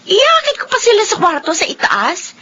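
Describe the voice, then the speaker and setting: A woman's voice: very high voice, very animated, moderate pace, sharp tone, heavily acted. A woman playing a cheeky, sarcastic single young aunt in a radio drama. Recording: dull lo-fi radio recording.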